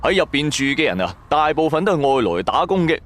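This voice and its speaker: Animated male storyteller with swooping intonation. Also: on the high side, quick pace, a little hiss or hum. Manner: colloquial, suspenseful storytelling. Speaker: storyteller and narrator. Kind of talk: dramatic scripted first-person narration.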